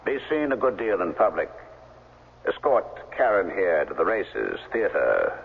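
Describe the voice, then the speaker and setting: An actor playing a cold, domineering middle-aged crime boss in a 1970s radio drama, smooth, condescending and commanding. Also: male voice, deep voice, huge pitch swings, unhurried, exaggerated voice. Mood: smooth persuasion. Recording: muffled vintage 1970s radio broadcast.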